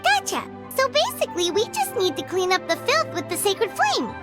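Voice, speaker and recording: A woman voicing a tiny, bubbly, boastful fairy companion girl in a video game, with a squeaky childlike voice and perky, exclamatory delivery. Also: wildly swooping pitch, normal speed, exaggerated voice. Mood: excited, boastful. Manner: perky, exclamatory, speaks of self in third person. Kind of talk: video game character voice acting. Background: loud music.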